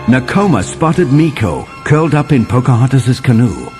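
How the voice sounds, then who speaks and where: A man as an animated settler storyteller. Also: mid-range voice, faint music behind, natural voice. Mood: storytelling. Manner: animated, vivid storytelling with dramatic pauses. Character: male settler storyteller recounting a winter adventure to John. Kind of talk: dramatic children's story narration.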